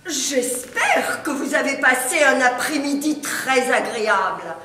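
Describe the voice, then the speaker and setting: A fussy, distracted English housewife, played by a woman in a live stage play. She speaks with a very high, bright voice and a lively, swooping tone. The recording carries hall echo. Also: unhurried, exaggerated voice. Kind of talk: amateur stage acting. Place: theatre stage before an audience. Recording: echoey hall, faint noise.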